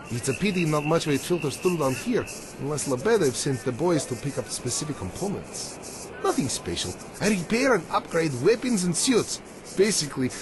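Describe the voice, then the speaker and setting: Animated, high-pitched gruff repairman. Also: slight background noise, poor audio. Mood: proud, eager. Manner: colloquial, swearing, grumbling. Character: gruff, griping male repairman in a post-apocalyptic camp. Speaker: mechanic character. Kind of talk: video game character dialogue. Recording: music behind the voice.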